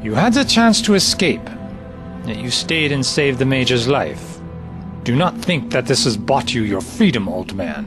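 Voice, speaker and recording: A man's voice: medium pitch, very animated, measured pace. A man playing a cold, clipped German officer. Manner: clipped, commanding, formal phrasing. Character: stern male German officer, cold and suspicious. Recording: audio drama with music underneath.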